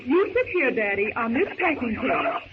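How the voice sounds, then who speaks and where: An actress playing a capable young woman, gently bossy, in old-time radio drama style. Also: on the high side, very animated, moderate pace. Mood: cheerful, directing. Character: cheerful, capable young woman, a professor's daughter. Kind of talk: 1930s radio drama acting. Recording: tinny, very noisy old radio recording.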